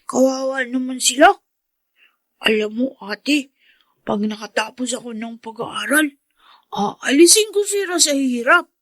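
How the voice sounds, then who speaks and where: An earnest young schoolboy in a radio play. He sounds high and close in a dry studio, affectionate toward his family. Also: unhurried, fairly bright tone, no echo. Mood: earnest, determined. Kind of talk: radio drama acting.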